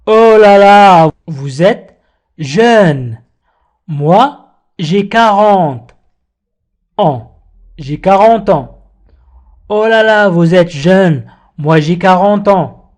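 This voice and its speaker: A man teaching a language lesson, saying each phrase slowly and repeating it, then explaining it quickly. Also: high-pitched, darkish tone. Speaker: French teacher.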